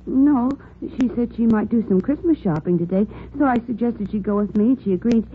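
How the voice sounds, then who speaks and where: A woman's voice: fairly high pitch, a little fast, lightly acted. A woman playing a polite, concerned young elevator operator in a 1940s radio drama, speaking earnestly and plainly. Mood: helpful. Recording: tinny, slightly noisy 1940s radio recording.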